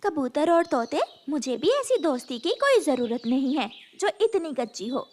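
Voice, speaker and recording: A woman's voice: sits high, very animated, normal speed, darkish tone, slight background noise. A woman voicing a kind, loyal female bird in a cartoon story, earnest and protective. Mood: firm.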